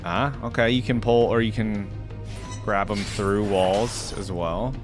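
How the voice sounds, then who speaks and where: A man's voice: lowish voice, fairly even intonation, a little slow, music in the background. A man giving casual reaction commentary, thinking aloud in a tentative way and sometimes reading text out. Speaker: reaction video host.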